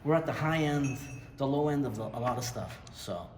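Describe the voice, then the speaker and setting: A man's voice: mid-range voice, a little fast, a little mellow, slight background noise. A middle-aged man from Massachusetts, legislative director for a state representative, defending himself to people filming him and repeating his questions. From New Bedford, Massachusetts. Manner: defensive, repeats himself, keeps saying "huh?". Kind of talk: heated back-and-forth on camera. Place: indoor government office. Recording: echoey office picked up from a distance.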